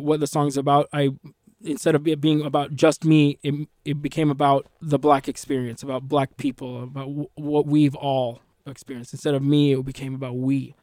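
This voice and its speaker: A man's voice: medium pitch, darkish tone, no room sound, studio quality. A man whose first language is Arabic, talking reflectively as a musician guest in an interview, often restarting his sentences and adding "you know". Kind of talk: interview conversation.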